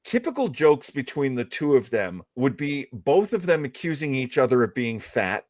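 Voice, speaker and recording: A man with a medium-pitched voice hosts a casual discussion. He explains and retells old comedy bits in an informal way, and the sound is dry and close. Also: big pitch swings, moderate pace, darkish tone, no echo. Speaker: show host. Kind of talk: casual panel chat about old radio comedy.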